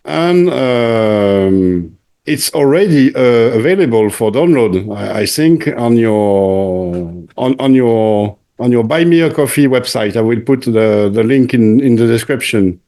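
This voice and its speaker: A man hosting an interview in conversation, speaking haltingly, often repeating words and searching for the next one. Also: medium pitch, lively intonation, dark tone. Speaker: channel host interviewing a returning guest.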